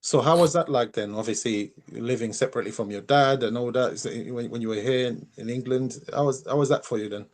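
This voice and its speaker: A man's voice: medium pitch, fast, few pauses. Man asking short interview questions. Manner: brief probing questions.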